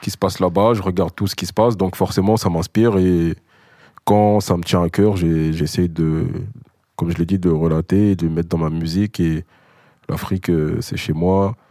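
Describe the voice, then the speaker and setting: A man's voice: sits low, fairly even intonation, moderate pace, dark tone, no echo, clean studio sound. A young man from Congo, a rapper, answering interview questions in a laid-back, rambling way. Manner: laid-back, filler-heavy. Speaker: rapper guest.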